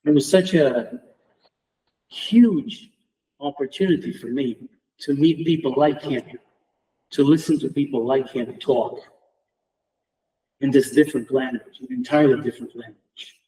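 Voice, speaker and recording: A middle-aged man from Romania with a Romanian accent, a native Romanian speaker giving a reflective memorial tribute as an English department lecturer, measured and halting with restarts. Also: mid-range voice, warm tone, recorded from a distance, low-quality recording. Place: lecture room at a memorial round table.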